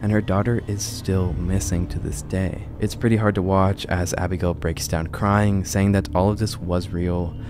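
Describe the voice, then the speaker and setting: A man's voice: deep voice, steady tone, normal speed, hardly any pauses, background noise. A man in his twenties reading a scripted movie recap as a video host, speaking closely into a studio microphone. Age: 20s. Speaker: video host, film commentator. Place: small home room set up as a studio. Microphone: large broadcast microphone close to the mouth. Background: music under the voice.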